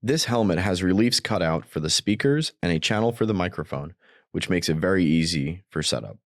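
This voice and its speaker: A man's voice: low voice, expressive, brisk. A man narrating a scripted product tutorial, explaining each step plainly as a demonstrator.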